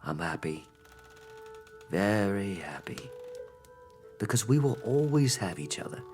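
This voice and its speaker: Gentle grieving father, slow and tender. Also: fairly low pitch, sing-song, faint music behind. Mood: loving sorrow. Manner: tender, halting, earnest. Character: gentle grieving father, loving and brave-faced. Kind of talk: theatrical radio-play acting.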